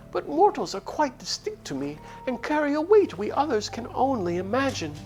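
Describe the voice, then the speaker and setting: Fantasy game wisp, very high-pitched, swooping, eager, wistful, grandly formal. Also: female voice, full character voice. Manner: grandly formal, archaic phrasing, emphatic. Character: eager, wistful wisp spirit of dancing light. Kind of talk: theatrical read-aloud of a fantasy game character.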